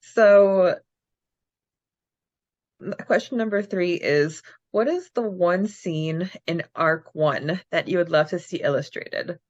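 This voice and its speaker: A woman co-hosting a casual fan podcast, chatty and quick to laugh as she reads out answers. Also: fairly low pitch, dry recording, muffled recording. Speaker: podcast co-host.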